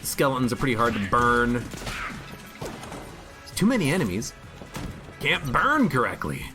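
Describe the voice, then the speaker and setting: Slightly hoarse man, lively pitch, casual streamer chatting over game music. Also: unhurried. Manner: chatty, reads chat aloud, musing. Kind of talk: casual gameplay commentary.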